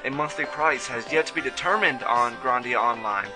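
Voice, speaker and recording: A man's voice: medium pitch, moderate pace. A man hosting a review show, reading scripted commentary on video games with music behind him.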